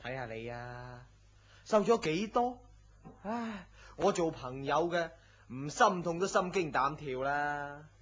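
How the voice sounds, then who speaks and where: A man's voice: on the high side, slow, exaggerated voice. A man with a Hong Kong Cantonese accent playing a blunt, teasing friend who fusses and scolds in a radio drama. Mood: teasing concern. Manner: exasperated, scolding, animated sighing delivery. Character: blunt, teasing, fussing male friend and co-worker. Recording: dull lo-fi radio drama audio.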